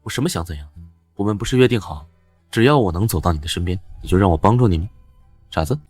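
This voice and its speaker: Casting: man as cocky, defiant young commoner hero, very expressive intonation, mid-range voice, recorded close and dry. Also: sits low, fast talker, dry recording, soft background music. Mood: earnest, familiar. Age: young adult. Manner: bold, self-assured. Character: bold, defiant young beggar turned ambitious official. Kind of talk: Chinese period comic-drama dubbing.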